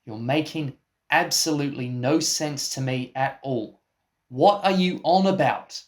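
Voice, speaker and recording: A man's voice: fairly high pitch, measured pace, natural voice. A man playing a baffled, exasperated interviewer in a comic radio play, with a dry, incredulous, questioning manner. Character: exasperated, baffled male interviewer and film producer. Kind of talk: scripted comic mock interview.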